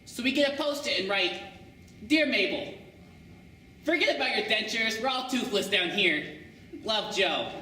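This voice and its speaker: A man's voice: high voice, lively intonation, unhurried, long pauses, sharp tone, slight background noise, exaggerated voice. A young man voicing a nerdy teenage boy who giggles while he lays out a prank. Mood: scheming. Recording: echoey room, far camera.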